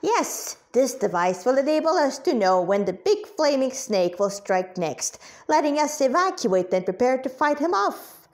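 A woman's voice: high voice, sing-song, moderate pace, few pauses. A woman reading the part of an enthusiastic, eccentric old male doctor in a story, explaining eagerly.

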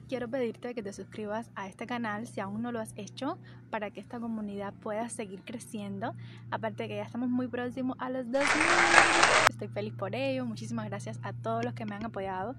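Chatty Cuban woman vlogging outdoors. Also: on the high side. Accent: Cuban Spanish. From Cuba. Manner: chatty, enthusiastic, with fillers. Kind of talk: casual vlog talk to camera. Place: outdoors by trees on a street. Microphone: phone camera held at arm's length. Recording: outdoor street noise behind.

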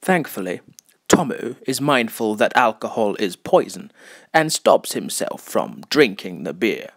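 A man playing a wry, taunting narrator who is a voice in someone's head, delivering deliberate scripted dramatic narration. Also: on the low side, sing-song, heavily acted. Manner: wry, deliberate, mocking storytelling.

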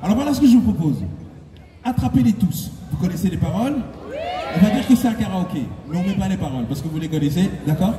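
A man's voice: sits high, animated, fast talker. A man hosting a concert on stage, bantering playfully with the audience, laughing often and urging everyone on. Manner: playful, teasing, laughs often, urges the crowd on. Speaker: singer and concert host. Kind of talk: stage banter with a convention crowd. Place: large indoor convention hall stage. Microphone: handheld stage mic through PA, filmed from audience. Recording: echoey hall PA from far off, loud crowd. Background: cheering crowd.

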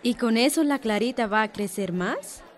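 A woman's voice: on the high side, animated, moderate pace, soft background music, lightly acted. An actress playing an earnest, respectful young village mother in a radio soap, with a Guatemalan accent. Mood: hopeful. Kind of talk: radio soap opera acting.

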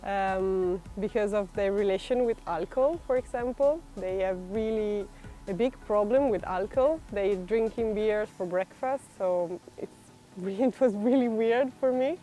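A woman's voice: medium pitch, unhurried, mellow, faint music behind. A young woman with an Italian accent, speaking hesitantly with many fillers in a documentary interview, recorded outdoors in a park. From Italy. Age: young adult. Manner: hesitant, many fillers, laughs while talking. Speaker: exchange student. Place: outdoor park, sitting under a tree.

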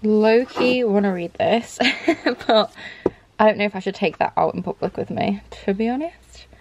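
A woman's voice: medium pitch, normal speed, darkish tone, muffled recording, a little hiss or hum. A woman, chatting casually for a book vlog and thinking aloud in an indecisive, rambling way. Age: 20s. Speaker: book vlogger. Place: small furnished room at home.

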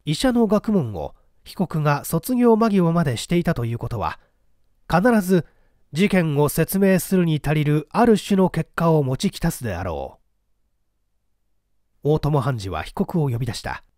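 Male reader for mystery fiction: expressive scripted narration, dry close sound. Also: on the high side, moderate pace, dry recording. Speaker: audiobook reader.

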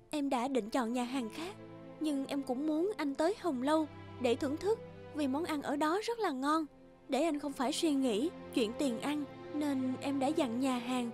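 A woman voicing a kind, tactful young woman in a gentle cartoon drama. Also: high-pitched, close to an everyday voice.

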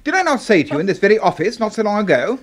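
An actor with an English accent playing a pompous, deranged military boss in a 1970s radio horror drama, clipped and commanding. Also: male voice, high voice, very animated, very fast. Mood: indignant. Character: pompous, deranged brigadier boss, secretly a serial killer.

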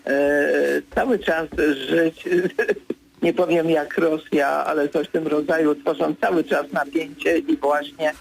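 Gravelly older man, halting then brisk. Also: fairly high pitch, steady tone, poor audio. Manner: hesitant, many fillers, then brisk assertions. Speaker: political commentator, guest. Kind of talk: radio interview. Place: radio studio.

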